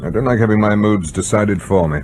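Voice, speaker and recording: A man's voice: lowish voice, fairly quick, darkish tone, natural voice. A man playing a stern, weary British submarine captain in a radio drama, with a clipped, dry, authoritative delivery. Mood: terse, grave. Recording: faint background noise.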